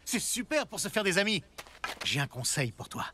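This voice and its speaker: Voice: mid-range voice, big pitch swings, moderate pace, pushed and bright, slight background noise. An actor playing a friendly grown-up villager in a French children's cartoon, encouraging and instructive. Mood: surprised, encouraging. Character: friendly grown-up villager giving kindly advice.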